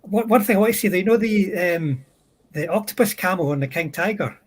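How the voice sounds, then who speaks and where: A man's voice: high-pitched, animated, fairly quick, husky. A man on a livestream panel, chatting casually about wargame models.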